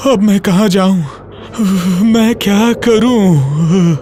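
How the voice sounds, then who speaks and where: An actor playing a carefree, mocking male grasshopper in a children's animated story, speaking in a drawn-out theatrical way. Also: medium pitch, a little hiss or hum. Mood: despairing. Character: carefree, mocking male grasshopper who loves singing and dancing. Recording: music behind the voice. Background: music.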